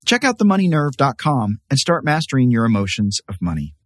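A man's voice: medium pitch, sing-song, brisk, no room sound, clean studio sound. A man reading scripted promotional narration for an advert.

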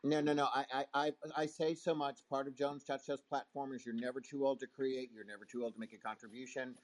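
A man in his fifties, a talk show host, speaking in an animated storytelling way in a casual conversation. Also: mid-range voice, fast. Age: 50s.

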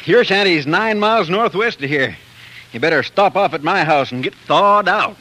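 An actor playing a helpful, hearty frontier neighbor in a 1940s radio drama, calling out in a clipped, folksy way. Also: male voice, high-pitched, lively intonation, normal speed. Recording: old radio broadcast, faint noise.

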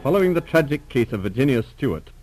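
A man's voice: medium pitch, big pitch swings, quick pace, a little mellow, soft background music, poor audio. A man narrating as a formal announcer on a 1940s radio serial, in an old recording.

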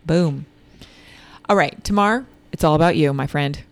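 A woman's voice: low voice, moderate pace, no room sound. A woman hosting a podcast in upbeat, playful casual chat, interviewing a guest. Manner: upbeat, playful, plugs merch. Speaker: podcast host.

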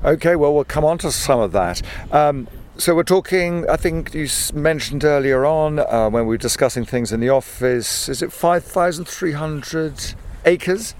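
A man's voice: on the high side, expressive, moderate pace, darkish tone, a little hiss or hum. A man presenting and interviewing outdoors on a hillside, curious and conversational, often repeating words as he asks. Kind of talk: walking field interview.

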